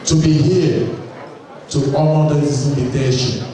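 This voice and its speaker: A man giving a formal welcome address at a community gala, in slow, measured phrases with long pauses. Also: fairly high pitch, fairly even intonation, warm tone. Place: large hall at a community gala. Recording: echoey hall, distant mic, crowd chatter.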